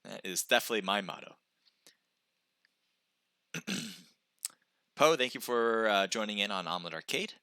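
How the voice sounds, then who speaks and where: Bright-voiced male esports caster. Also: fairly low pitch, normal speed, pauses often, clear, resonant tone, dry recording, clean studio sound. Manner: excited calls during action, chatty when reading chat. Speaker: esports caster and stream host. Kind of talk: live play-by-play commentary.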